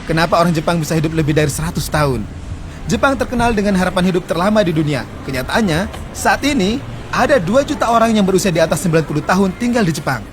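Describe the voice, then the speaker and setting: A man in his forties explaining customs in a casual interview, quick and earnest. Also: high-pitched, lively intonation, slight background noise. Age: 40s. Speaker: interview guest.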